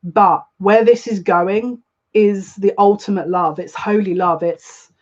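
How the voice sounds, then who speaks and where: Woman, psychic livestream host, earnest confiding talk, repeats herself, mid-pitched, slightly muffled stream audio. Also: steady tone, takes it slowly. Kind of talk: live spiritual reading, casual monologue.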